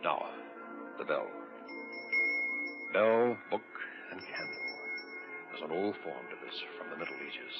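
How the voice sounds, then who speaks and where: Grave, deep-voiced radio horror hero. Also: male voice, wildly swooping pitch, over loud music, exaggerated voice. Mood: urgent resolve. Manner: solemn, theatrical, deliberate. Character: grave, commanding man confronting the supernatural. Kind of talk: old-time radio horror acting. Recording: dull vintage radio recording.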